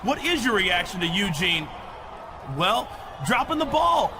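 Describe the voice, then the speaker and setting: An actor playing a needling backstage wrestling interviewer in a video game, with noise behind him. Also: male voice, high-pitched, big pitch swings, unhurried. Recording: noisy game cutscene audio.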